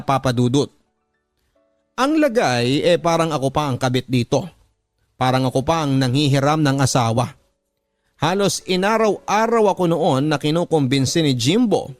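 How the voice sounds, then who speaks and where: A man with a Filipino accent reads a first-person story as a radio drama narrator, earnest and measured, drawing out the ends of his phrases. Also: a little high, no room sound. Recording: music under the voice. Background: background music.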